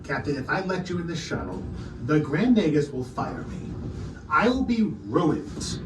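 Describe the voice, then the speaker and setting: Man playing a high-pitched, pompous alien aide. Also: very animated. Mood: pleading. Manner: pompous, legalistic, halting protests. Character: indignant, pleading Ferengi alien aide to a ruler. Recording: roomy, distant mics with clear noise.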